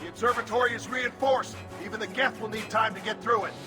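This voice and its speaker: A man's voice: high voice, fairly even intonation, quick pace. A man playing a defiant alien marine squad leader in a video game, with clipped military talk through a helmet filter. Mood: defiant, grim. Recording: game audio with music behind. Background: music.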